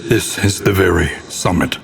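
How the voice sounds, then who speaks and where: An actor playing a grim, solemn narrator of a doomed gothic world in a video game, speaking in a theatrical, ominous, measured way. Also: male voice, deep voice, creaky, slight background noise. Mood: grim, foreboding. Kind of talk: dramatic scripted game narration. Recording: long cavernous reverb.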